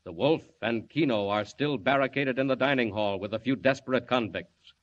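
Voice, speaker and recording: A man's voice: mid-range voice, normal speed. Casting: male narrator for old-time adventure serial, dramatic delivery, mid-range voice, muffled sound. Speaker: announcer and narrator. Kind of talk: dramatic scripted 1940s radio serial narration. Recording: muffled lo-fi old radio recording.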